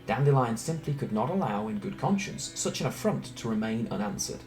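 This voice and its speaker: A man reading a scripted story aloud in a theatrical, expressive way. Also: mid-range voice, brisk. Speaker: storyteller reading fan narration. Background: background music.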